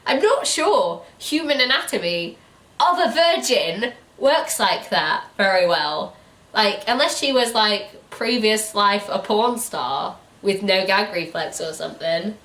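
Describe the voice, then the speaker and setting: British female book reviewer, animated, giggly and sweary, picked up from a distance in an echoey room. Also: mid-range voice, slow. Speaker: host of a book review show. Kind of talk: casual comedic book chat. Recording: roomy echo, distant mic.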